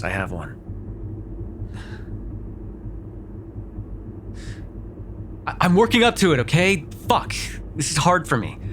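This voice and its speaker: A man playing a sarcastic vampire with a soft spot in an ASMR roleplay, talking in a teasing, conversational way with sighs and hesitations. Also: medium pitch, vocal fry, background noise. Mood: awkward, hesitant. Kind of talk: immersive ASMR roleplay acting.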